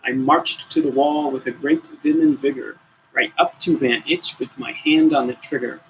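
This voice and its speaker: A man reading a children's story aloud with theatrical, deliberate emphasis. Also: fairly high pitch, steady tone, a little hiss or hum, rough recording. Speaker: storybook reader.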